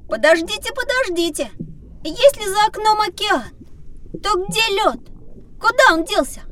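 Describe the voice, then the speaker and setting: A woman voicing a curious, cheeky, excitable young schoolboy in a children's educational audio drama. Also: very high-pitched, measured pace, heavily acted. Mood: amazed, excited. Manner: exclamatory, cheeky.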